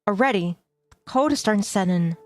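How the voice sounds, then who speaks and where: A woman reading a visual novel aloud as a streamer, narrating in measured phrases with casual commentary. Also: mid-range voice, animated. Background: music.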